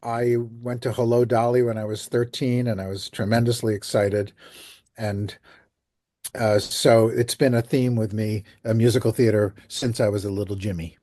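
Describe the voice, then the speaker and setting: A man's voice: lowish voice, flat delivery, moderate pace, mellow, dry recording, clean studio sound. An older man answering interview questions as a composer, telling stories in a chatty way. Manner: chatty storytelling with light laughs. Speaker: guest, composer and lyricist.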